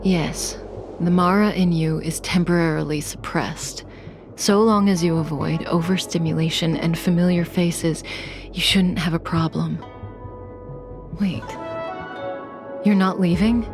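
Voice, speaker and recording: An actress playing a calm, enigmatic female outlaw in a video game, with composed, measured phrasing. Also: lowish voice. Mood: calm, detached. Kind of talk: dramatic video game voice acting. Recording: music under the voice.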